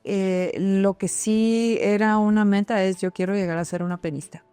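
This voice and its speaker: Mellow woman reflecting in an interview. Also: medium pitch, steady tone, normal speed, clean tone, dry recording, soft background music. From Guatemalan, grew up in Texas, United States. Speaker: interview guest, singer-songwriter and doctor. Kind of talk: casual reflective conversation.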